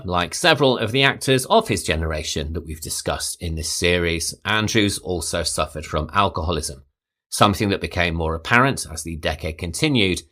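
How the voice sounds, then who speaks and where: Podcast guy reading his script, low voice but really animated, essay-like with little personal asides. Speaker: podcast host. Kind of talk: scripted film-history narration.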